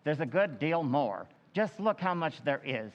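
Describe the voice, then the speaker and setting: An actor playing a small-town meeting chairman who reads a letter aloud and runs an auction, in an old-time radio play recreation, in a declamatory, brisk manner. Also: fairly high pitch, big pitch swings, big-room sound, muffled recording. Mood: declaiming.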